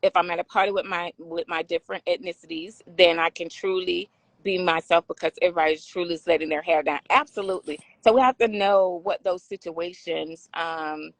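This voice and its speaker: A woman with a raspy voice hosting a casual talk discussion, often affirming her guest. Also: lowish voice, steady tone, fairly quick, no echo, poor audio. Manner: affirming, frequent agreement sounds. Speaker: talk show host.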